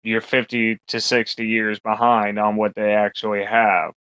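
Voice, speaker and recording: Low-voiced man with a smooth, dry sound. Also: level tone, moderate pace, fairly bright tone, no echo. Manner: rambling, crude, lots of fillers. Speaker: podcast co-host. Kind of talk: casual profane chat. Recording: dull lo-fi sound.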